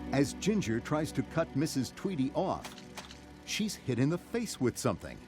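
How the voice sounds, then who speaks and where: A man narrating a children's read-along story in a dramatic, measured storytelling manner. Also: medium pitch, sing-song. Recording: music behind the narration.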